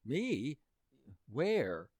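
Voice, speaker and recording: A glib teenage pal, played by a man in an old-time radio comedy, reluctant and out for himself. His voice sits high and swings around in pitch as he wriggles out of things. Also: wildly swooping pitch, extremely slow, a little mellow, dry recording, clean studio sound, heavily acted. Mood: evasive, glib. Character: Homer, reluctant, self-serving teenage buddy of the hero.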